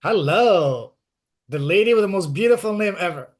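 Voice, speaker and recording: A man's voice: high-pitched, animated, normal speed. A man hosting a group call, effusive in greeting and praising guests. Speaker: host of the call.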